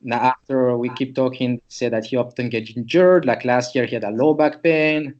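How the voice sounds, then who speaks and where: A man's voice: medium pitch, steady tone, normal speed, a little mellow, highs cut off. Man from Switzerland presenting a case, conversational. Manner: loose, conversational, thinks aloud mid-sentence. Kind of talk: informal case presentation to students.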